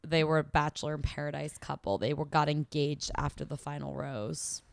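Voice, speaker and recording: A woman's voice: sits low, little pitch movement, brisk, vocal fry, dry recording. A woman co-hosting a podcast in casual chat, losing her voice from a cold, talking chattily and laughing often. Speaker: podcast co-host.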